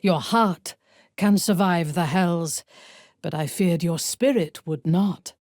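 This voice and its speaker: A woman playing a haughty, ruthless dark elf warrior in a fantasy video game, speaking in a measured, imperious, formal way with a breathy, husky voice. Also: fairly low pitch, no room sound, studio quality. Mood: solemn, sincere. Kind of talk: scripted video game character lines.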